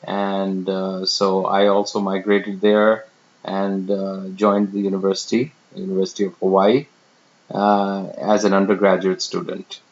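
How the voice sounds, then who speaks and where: Man from India in his fifties, low voice, slow self-introduction full of fillers, dull lo-fi recording. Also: monotone. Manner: unhurried, many fillers and pauses. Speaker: real estate professional. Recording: dull lo-fi sound.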